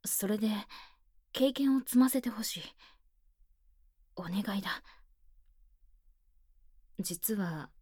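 A woman playing an earnest, bookish high-school club president with stiff, boyish phrasing, speaking softly and close in a Japanese ASMR voice drama. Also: animated, slow, pauses often, slightly rough, dry recording. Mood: shy, pleading. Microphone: close binaural microphone, dry.

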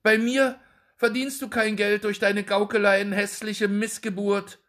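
A man's voice: high-pitched, level tone, a little slow, fairly bright tone, voice pushed up. A man voicing an angry older market woman who scolds shrilly in a storybook reading. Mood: furious.

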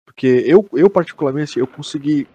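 A man's voice: fairly high pitch, expressive, moderate pace, mellow, husky, dull audio. A Brazilian man chatting casually and enthusiastically as a podcast host, slangy and emphatic, with some swearing. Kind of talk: casual chat, enthusiastic review.

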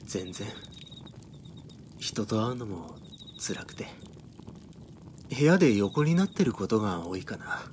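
Man acting a slow-spoken, downcast young man. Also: fairly low pitch, sing-song, background noise. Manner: hesitant, polite, downcast. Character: timid, depressed young man living alone. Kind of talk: audio drama acting.

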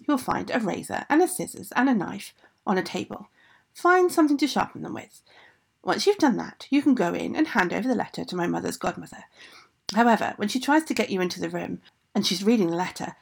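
A woman voicing a stern magical king who gives admonishing, deliberate instructions in a folk tale. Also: mid-range voice, gravelly, darkish tone, slightly rough, exaggerated voice.

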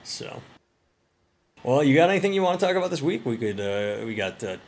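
A man's voice: medium pitch, very animated, fairly quick. A man co-hosting a podcast, casually telling a profane, rambling story. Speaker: podcast co-host.

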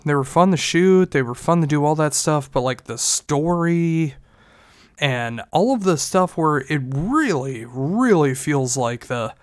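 This is a male podcast host with a congested, stuffy nose. His voice sits a bit high for a man. He talks in a loose, rambling way with restarts, and the sound is close and dry with no room echo. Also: animated, a little slow, clean tone. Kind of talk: casual solo podcast talk.

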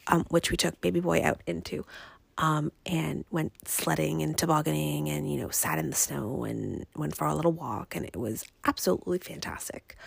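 A young woman podcast host chatting casually and ramblingly, her voice raspy and congested as she recovers from illness. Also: low voice, dry recording. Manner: rambling, conversational. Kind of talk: casual personal podcast chat.

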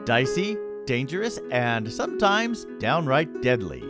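Man acting lively jovial fantasy trailer narrator. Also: mid-range voice, a little slow, over loud music. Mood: playful. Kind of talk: scripted promotional narration.

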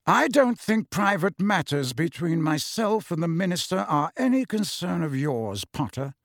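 A cold, haughty middle-aged wizard, voiced by a male narrator. He drawls with icy politeness, his pitch swinging wide before each phrase sinks into a quiet sneer. The storybook reading is dry and close. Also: very animated, gravelly, dry recording, full character voice. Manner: slow, cool drawl with soft trailing endings.

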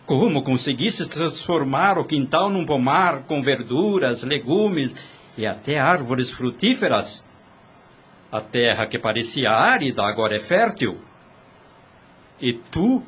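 An actor playing an ardent, insistent suitor in a Brazilian radio soap, speaking in formal, declamatory phrases. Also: male voice, mid-range voice, a bit bright, a little hiss or hum. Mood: amazed. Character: Antero, ardent, insistent male suitor and adventurer.

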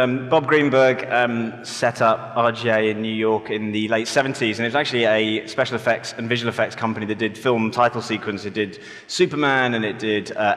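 A man speaking as a conference panelist, relaxed and rambling, full of ums and sort-ofs as he tells his agency's history. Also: mid-range voice. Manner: relaxed, rambling with many fillers. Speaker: panelist, agency managing director. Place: conference hall stage. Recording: hall reverb.

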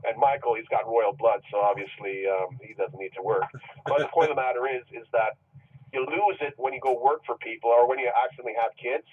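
Husky man joking down a phone line. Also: medium pitch, fast talker. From Jamaica. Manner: rambling, joking storyteller. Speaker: indie film director. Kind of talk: casual phone interview. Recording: tinny distant phone line.

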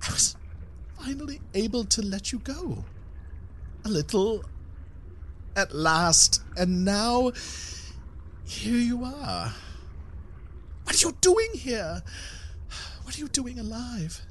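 An actor playing a snobbish, self-conscious man who is sincere and heartsick, speaking haltingly with sighs in an audio drama. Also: very high-pitched, big pitch swings, fairly bright tone, a little hiss or hum, full character voice. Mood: stunned, tender. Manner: halting, sighing, wistful. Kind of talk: audio fiction comedy drama monologue.

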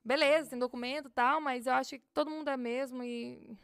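A Brazilian woman with a high, smooth voice chats at a quick clip, full of slangy asides. The sound is dry and close. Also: fairly even intonation, no echo. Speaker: guest, police officer. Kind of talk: casual podcast chat.

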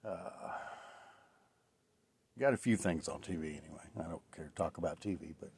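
A man's voice: low voice, moderate pace, darkish tone, a little hiss or hum. A man in his late forties hosting his own podcast, talking alone in a rambling, reflective way with many fillers and restarts. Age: 40s. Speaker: podcast host. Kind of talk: casual solo podcast monologue.

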